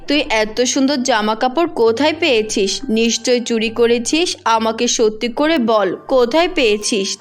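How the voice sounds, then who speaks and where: A woman playing a cruel stepmother in a cartoon, with insistent, repetitive demands and pleas. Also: high voice. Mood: accusing. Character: cruel stepmother, accusing, then cowed and begging.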